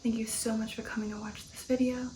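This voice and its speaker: A woman reading an audiobook in ASMR style, in a soft breathy whisper, slowly and with dramatic deliberation. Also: fairly high pitch, flat delivery, low-quality recording. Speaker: ASMR host and narrator. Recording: music under the voice. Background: music.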